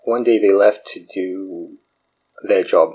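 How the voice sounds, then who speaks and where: A low, husky male voice as a wry, self-deprecating man in an audio drama. He rambles and jokes in a natural, conversational way. The sound is slightly dull. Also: takes it slowly, exaggerated voice. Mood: bitter, reflective. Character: wry, self-deprecating man, recently freed from possession, fake guru healer.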